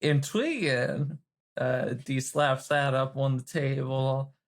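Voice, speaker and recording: A woman's voice: very deep voice, steady tone, slow. A woman chatting casually and teasingly as she improvises her way through a roleplay game as a player. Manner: playful, teasing, informal. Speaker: player in a tabletop roleplay podcast.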